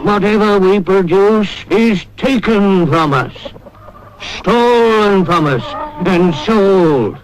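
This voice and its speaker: An actor playing an aged, dying boar who gives grave, declamatory speeches in a 1950s animated film. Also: male voice, sits high. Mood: grave, bitter. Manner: grave, declamatory, deliberate dramatic pauses. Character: aged dying boar, solemn revolutionary farm orator. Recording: noisy 1950s film soundtrack.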